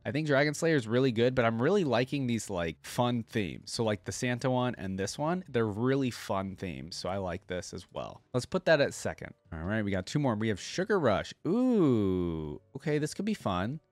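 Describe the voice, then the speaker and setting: A man's voice: medium pitch, sing-song, normal speed, hardly any pauses, no echo. A man giving casual reaction commentary, thinking aloud in informal, slangy language. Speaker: reaction video host.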